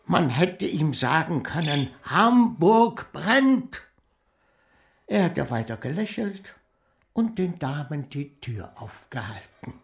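An elderly retired estate agent for a radio play. His voice is gravelly and he speaks very slowly, hesitating as he reflects. He sounds lonely and burdened with old guilt. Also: fairly high pitch, big pitch swings, full character voice. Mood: reflective. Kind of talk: radio drama acting.

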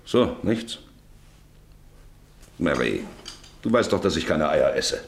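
A man's voice: a bass voice, very animated, slow pace, vocal fry, slight background noise, full character voice. An actor in a German radio drama playing a stern, domineering middle-aged farmer father who speaks bluntly and commandingly. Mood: curt, gruff. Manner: commanding, blunt, cutting others short.

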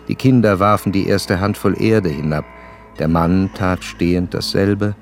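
An actor playing an educated, reflective gentleman narrator in a literary radio drama, speaking in a measured, formal way. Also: sits low, gravelly. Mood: solemn. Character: curious educated gentleman recounting a sad memory.

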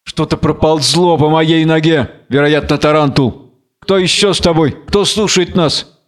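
A man's voice: on the high side, steady tone, unhurried, close to an everyday voice. A man playing a tormented, proud captive strongman, declaiming and brooding in a biblical tragedy. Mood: guarded. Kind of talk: dramatic reading of a biblical tragedy.

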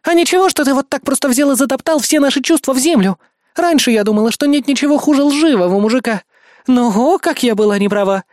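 A narrator voicing a furious, sharp-tongued young woman officer who snarls and snaps in an audiobook story. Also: high-pitched, fast, no room sound, clean studio sound, voice pushed up, natural voice. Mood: indignant. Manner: snarling, snapping, scornful.

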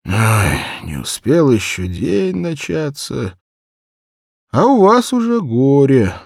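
A man voicing a tipsy old village cobbler, gruff but fond, cooing and exclaiming, in a storybook reading. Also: mid-range voice, big pitch swings, takes it slowly, a little mellow, no echo, studio quality, voice pushed up, exaggerated voice. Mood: fond grumbling. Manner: cooing baby talk, exclamatory, swooping.

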